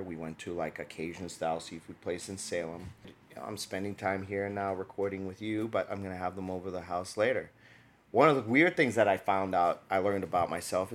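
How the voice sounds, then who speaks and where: Lively, low-voiced New podcast host. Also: male voice. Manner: animated storyteller, laughs often, gets loud when excited. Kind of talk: casual chat.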